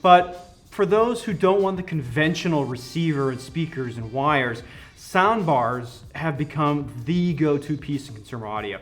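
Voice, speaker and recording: A man's voice: fairly high pitch, expressive, measured pace. A man working as a company product representative, explaining a product enthusiastically in a conversational interview. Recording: music playing behind the voice.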